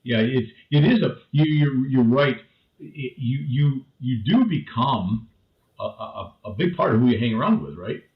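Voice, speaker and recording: A man's voice: mid-range voice, expressive, unhurried. A man from the northern Midwest with a Midwestern accent, a show guest talking casually, often stopping and repeating words. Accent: Midwestern American. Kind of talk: casual conversation. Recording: dull roomy call audio.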